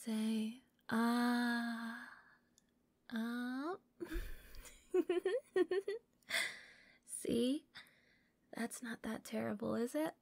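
Woman acting a shy, slow-speaking, doting yandere girl. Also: fairly high pitch, fairly even intonation, dry recording, exaggerated voice. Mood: doting, gentle. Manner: soft, breathy, often whispering, giggly, stammering when flustered. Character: shy, doting yandere young woman, flustered, possessive captor. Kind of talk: intimate roleplay audio acting.

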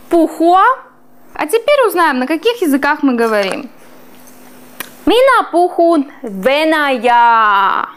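A woman in her thirties teaches a small class, her voice high and bouncing with lively rises and falls. She takes her time, stretching each foreign word out slowly and clearly so the students can follow. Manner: slow, clearly drawn-out pronunciation of foreign words. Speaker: language teacher.

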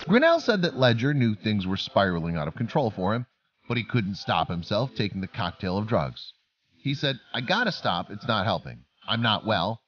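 A man's voice: on the low side, fairly bright tone, highs cut off, a little hiss or hum. A man narrating a scripted documentary-style story as a voice-over.

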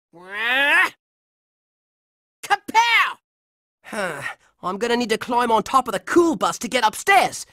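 A woman's voice: sits high, fairly bright tone, no echo, clean studio sound, heavily acted. A woman voicing a scrappy, tough-talking, hot-headed boy hero in a cartoon video game, brash and combative.

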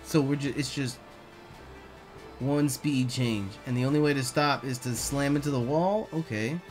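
A man doing let's play commentary on a video game. He is excitable, repeats catchphrases, swears a lot, and his voice is getting hoarse. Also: medium pitch, normal speed, faint music behind. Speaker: gaming YouTuber.

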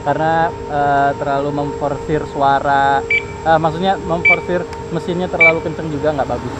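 A man's voice: on the high side, steady tone, normal speed. A young man talking casually as a motorcycle vlogger, chatty and upbeat with lots of fillers, recorded outdoors on a helmet-mounted action camera. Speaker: motovlogger. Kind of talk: casual vlog talk. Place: outdoors by a street, riding a motorcycle. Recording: lo-fi, loud engine and wind noise.

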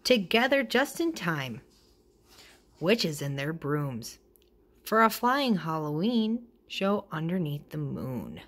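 A woman reading a children's picture book aloud in an expressive way, acting out the dialogue. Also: deep voice, takes it slowly. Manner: expressive, acts out character dialogue. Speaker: storybook reader.

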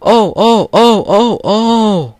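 Squealing panicky storybook trucks an octave above his low voice, dry and close. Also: expressive, very slow, a little mellow, no room sound, heavily acted. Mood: alarmed. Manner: shrieking, panicky. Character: silly squealing freight trucks.

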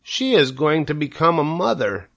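A man voicing a naive, earnest young male pig in a high, eager voice. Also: very animated, moderate pace, gravelly, fairly bright tone, full character voice. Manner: eager, piping.

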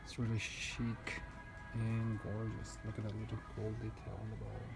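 Man, thirties, enthusiastic home decor vlogger, phone mic, loud background music in the store. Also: on the low side, monotone, normal speed, creaky. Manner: chatty, gushing, enthusiastic. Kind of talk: casual shopping vlog. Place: big stores and a parking lot. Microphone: phone held at arm's length.

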